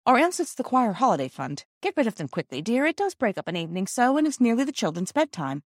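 A woman voicing a loving mother in a children's storybook, gentle and restrained but firm. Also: on the high side, very animated, fast talker, dry recording, studio quality, voice pushed up. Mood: mildly vexed.

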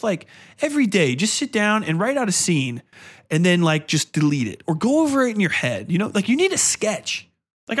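A man's voice: sits high, a bit bright. A man ranting casually as a podcast host, blunt, profane and exasperated, rambling through his opinions in slangy speech.

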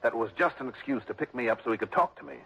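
A man's voice: mid-range voice, fast talker, creaky, sharp tone, breathy edge, heavily acted. An actor playing a tough, sardonic male insurance investigator in a 1960s radio drama, with a clipped, wry, pressing delivery. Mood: probing. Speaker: lead actor. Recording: muffled old radio broadcast.

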